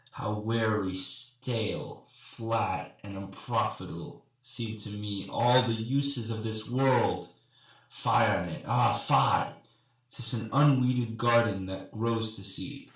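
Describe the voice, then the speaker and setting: A man performing a grieving, disgusted young prince in a Shakespearean soliloquy, with weighty theatrical delivery and long pauses. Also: fairly low pitch. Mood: weary despair. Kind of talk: Shakespearean dramatic monologue. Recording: very echoey, distant from the mic.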